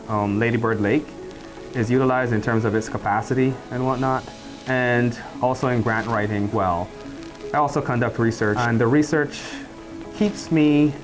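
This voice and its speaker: A middle-aged man with a Barbadian accent, a professor and department chair speaking in a faculty profile in a measured, explanatory way. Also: mid-range voice, low-quality recording. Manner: measured, explanatory, uses "you know" and "and whatnot".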